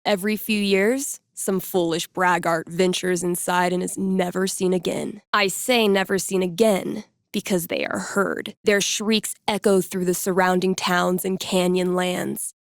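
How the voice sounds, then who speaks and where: A woman's voice: fairly low pitch, little pitch movement, unhurried, hardly any pauses, no room sound, clean studio sound. A woman playing a snarky, easygoing young record-store geek in a video game, talking in casual, slangy, sardonic lines. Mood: theatrical narrating. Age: young adult.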